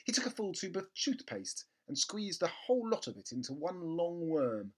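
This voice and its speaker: A man's voice: a little high, sing-song, normal speed. Man reading a children's story with theatrical emphasis. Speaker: storybook reader. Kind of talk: expressive children's book narration.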